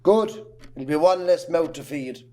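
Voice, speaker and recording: A man acting a bullying, miserly farmer father in an amateur drama, blunt and gruff, with an Irish accent. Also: fairly high pitch, animated, unhurried, mellow. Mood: sneering.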